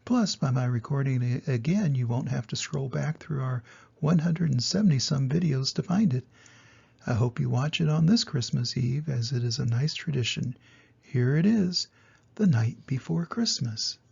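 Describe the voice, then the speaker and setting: Older man, husky creaky grandfatherly storyteller. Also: medium pitch, moderate pace, highs cut off. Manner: affectionate, expressive storytelling for children. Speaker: grandfather reading stories for grandchildren. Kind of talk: storybook read-aloud with personal introduction.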